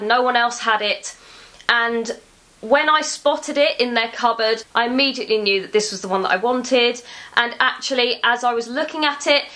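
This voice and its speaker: A young woman vlogging about a handbag she bought, gushing with excitement, her voice still thick from a cold. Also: a little high. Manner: gushing, enthusiastic, repeats words for emphasis. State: has a cold. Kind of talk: casual unboxing vlog.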